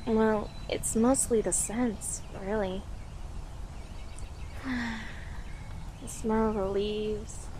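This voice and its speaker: A woman playing an affectionate, playful girlfriend in an audio roleplay, talking softly and giggly to the listener with lingering pauses. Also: medium pitch, noisy background, natural voice. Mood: dreamy, content. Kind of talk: audio roleplay, intimate slow-paced monologue to listener.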